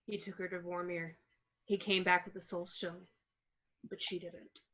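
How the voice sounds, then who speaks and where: Casting: woman as grieving cyborg warrior, lowish, very flat, dull lo-fi audio. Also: normal speed. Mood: bleak grief. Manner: flat, bleak, restrained. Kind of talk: amateur table read of a film script.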